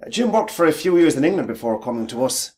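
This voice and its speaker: A man's voice: a little high, animated, fast talker, darkish tone, natural voice. An actor playing a mild, apologetic manager in a radio play, polite and hesitant in his speech. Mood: polite, uneasy.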